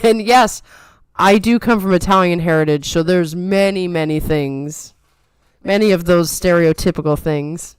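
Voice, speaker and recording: Smooth chatty woman with a New York lilt. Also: fairly low pitch, a little slow, no echo. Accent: softened New York. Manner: chatty, playful, trained radio delivery. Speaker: podcast co-host. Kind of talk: casual chat.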